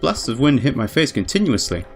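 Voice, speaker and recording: A man's voice: mid-range voice, big pitch swings, a little fast. A man voicing a proud young witch who narrates her tale in a grave, formal, ornate way. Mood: tense narration. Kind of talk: dramatic visual novel narration. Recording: music underneath.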